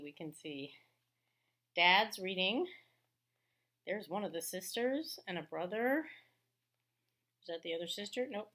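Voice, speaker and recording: A woman's voice: medium pitch, sharp tone. Woman teacher reading aloud to children. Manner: explanatory, pauses to comment on pictures. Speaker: elementary school teacher. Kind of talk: read-aloud lesson for children, storybook reading with chatty asides.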